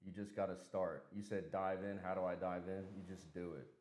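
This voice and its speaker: This is a man with a light Southern drawl and a low, deep voice. He talks loosely, full of fillers and quick laughs, like he's hanging out with a friend. The sound has the echo of a bare room. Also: level tone, a little mellow. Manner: laid-back, laughs often, many fillers. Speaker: podcast guest. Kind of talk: casual chat. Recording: echoey room.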